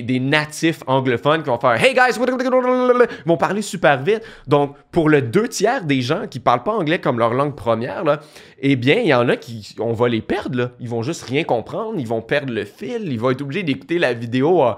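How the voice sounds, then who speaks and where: A young man with a Québécois French accent, explaining in a casual talking-head video from a small home studio, lively and gesturing, restarting phrases as he thinks. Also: on the high side, moderate pace. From Quebec. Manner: animated, gestures a lot, thinks aloud with restarts. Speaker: online course creator. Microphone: studio mic on boom arm beside him.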